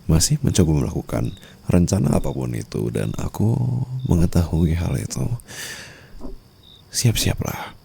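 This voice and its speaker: A man acts out a dominant, wealthy sugar-daddy husband in an intimate ASMR roleplay. His extremely low voice has a creaky, gravelly edge and an Indonesian accent. He talks softly and possessively, teasing with English pet names. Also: wildly swooping pitch, full character voice. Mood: quietly menacing. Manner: soft, slow, mixes in English endearments.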